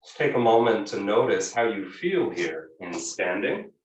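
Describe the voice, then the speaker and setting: A man teaching a guided yoga class, giving gentle, measured instructions with frequent pauses. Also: on the low side, big pitch swings. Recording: echoey room, distant microphone.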